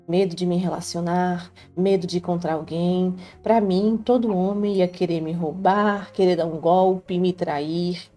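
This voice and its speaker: Low, mellow Brazilian woman storyteller. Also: steady tone, unhurried, hardly any pauses. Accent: Brazilian Portuguese. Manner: earnest, heartfelt confiding tone. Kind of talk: first-person scripted narration. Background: faint background music.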